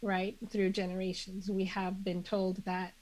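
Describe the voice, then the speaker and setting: A slow-speaking woman guest sounds congested. She talks earnestly and in measured phrases, often pausing on fillers and restarting as she builds her point. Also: mid-range voice, completely flat delivery, a little mellow, rough recording. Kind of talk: reflective conversational interview.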